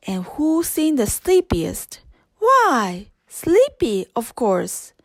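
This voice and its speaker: A woman narrating a scripted children's story with gentle, careful phrasing. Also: on the high side, huge pitch swings, extremely slow, dry recording, clean studio sound. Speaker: audiobook narrator.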